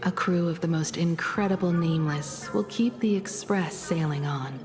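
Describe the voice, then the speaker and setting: An actress playing a devoted, nostalgic young adult daughter and star navigator in a video game, speaking softly and sincerely with composure. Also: female voice, fairly low pitch, moderate pace, lightly acted. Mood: heartfelt, reflective. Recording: music under the dialogue.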